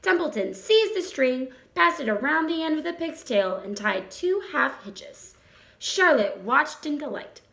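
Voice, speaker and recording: A woman reading a children's story aloud with expressive narration. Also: high-pitched, a little slow, vocal fry. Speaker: storybook reader. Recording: roomy echo.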